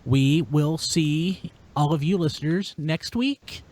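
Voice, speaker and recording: A man's voice: fairly high pitch, takes it slowly, darkish tone. A man hosting a podcast in a chatty, informal way, talking casually to listeners and co-hosts. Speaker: podcast host. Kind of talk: casual podcast talk, sign-off plugs.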